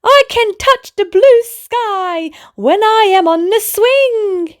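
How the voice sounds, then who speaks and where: A woman voicing an excitable, playful little toddler boy from a storybook, in a singsong, exclaiming manner. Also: very high-pitched, slow, pitched above the performer's own voice, heavily acted. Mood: gleeful.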